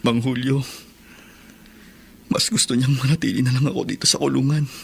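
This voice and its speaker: An actor with a Filipino accent playing an aggrieved young son in a radio drama, husky, pleading and emotional. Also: male voice, medium pitch, steady tone, moderate pace. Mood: bitter, hurt. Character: aggrieved, bitter young son who lands in prison.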